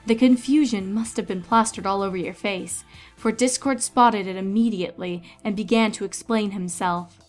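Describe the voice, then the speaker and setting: A woman's voice: mid-range voice, moderate pace, soft background music. A woman narrator reading a story aloud in a scripted, dramatic storytelling style.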